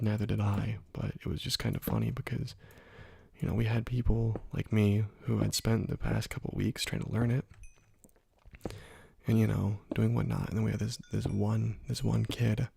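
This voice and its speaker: A young man hosting an ASMR gaming video, speaking softly into a close microphone and rambling through stories with many fillers. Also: lowish voice, gravelly, husky. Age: young adult. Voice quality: whispery and soft. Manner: rambling, laid-back storytelling with many fillers. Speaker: gaming ASMR channel host. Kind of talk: soft-spoken ASMR gameplay commentary.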